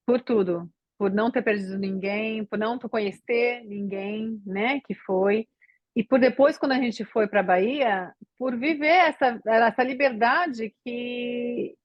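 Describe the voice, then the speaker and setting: A woman's voice: fairly high pitch, dry recording, highs cut off. A woman from Brazil, a podcast guest, chatting casually and rambling with many fillers and restarts. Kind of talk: casual interview chat.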